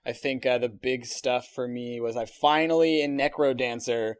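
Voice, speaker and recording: A man's voice: mid-range voice, steady tone, normal speed, clean tone. A man co-hosting a podcast, chatting casually and agreeably with enthusiasm. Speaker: podcast co-host. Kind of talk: casual group podcast chat.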